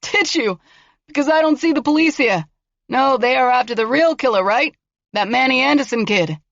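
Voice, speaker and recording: A woman voicing an unhinged male killer who taunts his victim through a door, mocking and sing-song, then hushed and threatening, on a dull recording. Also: high voice, moderate pace, vocal fry, no echo, voice pushed up. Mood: taunting.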